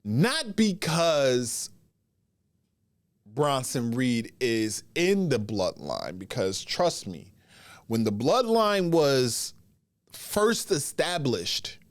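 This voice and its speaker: A man hosting a wrestling podcast in casual solo commentary, sounding stuffy and congested as he gets over a cold, and talking in drawn-out phrases with pauses. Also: medium pitch, very animated, dry recording.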